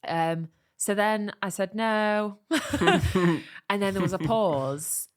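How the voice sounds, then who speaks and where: A woman's voice: mid-range voice, slow. A woman co-hosting a comedy podcast, telling an anecdote in casual chat and acting out the quotes with lots of laughter. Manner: animated storyteller who acts out quotes, laughing often. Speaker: podcast co-host.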